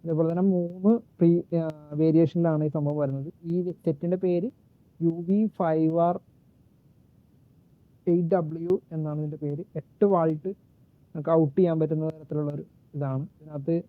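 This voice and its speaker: A man giving a casual, unscripted gadget walkthrough in a halting way, searching for words and correcting himself. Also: on the high side, fairly even intonation, dry recording. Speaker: gadget reviewer and demonstrator. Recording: muffled phone-like narrow-band sound.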